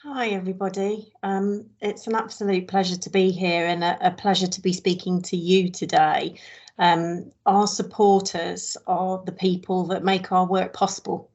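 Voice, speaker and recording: Female charity speaker, low, monotone delivery, dull lo-fi sound. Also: normal speed. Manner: steady and even, with ums. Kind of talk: prepared online presentation.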